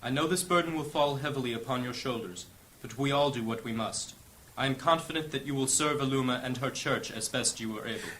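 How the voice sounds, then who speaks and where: An actor playing a solemn high-ranking church leader in a fantasy audio drama, speaking in a grave, formal, commanding way. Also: male voice, brisk, few pauses, fairly bright tone, natural voice, rough recording. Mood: urgent, commanding. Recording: clear background ambience noise.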